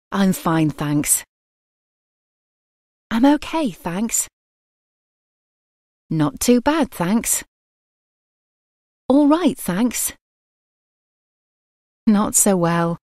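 Very slow, lively woman reading phrases. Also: a little high, no echo, clean studio sound. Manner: very slow, clear, pauses between phrases. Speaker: phrase reader.

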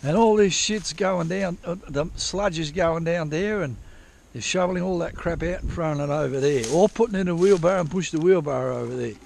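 A man's voice: fairly high pitch, fairly quick, gravelly, hardly any pauses, darkish tone, a little hiss or hum. A man rambles through a walkthrough of old diggings, correcting himself and swearing casually as he goes, recorded outdoors on a head-mounted camera. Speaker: prospecting vlogger. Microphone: head-mounted action camera.

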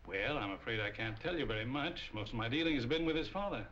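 A dignified older gentleman lawyer acted by a man in a 1940s crime picture. His voice is forceful and bright, his manner formal and protective. The film sound is old, muffled and faintly noisy. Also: medium pitch, animated, fast talker, close to an everyday voice. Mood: courteous.

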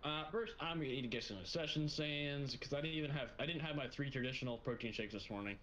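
A man's voice: medium pitch, fast talker, fairly bright tone. A man chatting casually in an improvised gaming roleplay, slangy and joking, with some playful grumbling. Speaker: gaming video creator playing a truck roleplay. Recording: dull lo-fi mic, faint noise.

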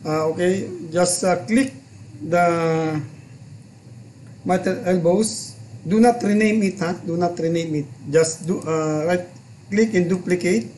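A man in his forties with a Filipino accent, hosting a home vlog and software tutorial, chatty and halting, mixing languages. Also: high voice, slight background noise. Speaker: channel host and software tutor. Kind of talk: casual vlog chat and screen tutorial. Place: small room at home, indoors.

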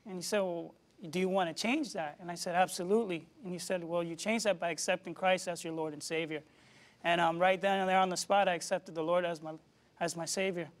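Soft-spoken young man with a high voice. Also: fairly even intonation, brisk. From Puerto Rico. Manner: soft-spoken, many "um"s, respectful "yes, sir". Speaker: reverend, guest. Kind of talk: personal testimony in an interview. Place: television studio set, indoors.

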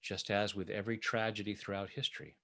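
Deep-voiced man guiding spoken affirmations. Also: little pitch movement, vocal fry, sharp tone. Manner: measured, deliberate, phrase by phrase. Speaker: tapping coach leading affirmations. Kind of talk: guided affirmations in short phrases for repeating.

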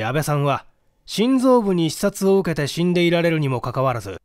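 Casting: male reader as polite, methodical detective for mystery audiobook; higher-pitched male voice, animated intonation, dry close sound. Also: dry recording. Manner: polite, measured, explanatory. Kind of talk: dramatic audiobook reading of a mystery.

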